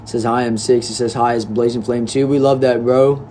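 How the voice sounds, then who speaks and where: A man's voice: mid-range voice, level tone, a little fast, dark tone, slight background noise. Laid-back young man streaming. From Texas, United States. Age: young adult. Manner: laid-back, chatty, addresses viewers as bro and y'all. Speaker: livestreamer reading chat. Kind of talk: casual livestream chat. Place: indoor room.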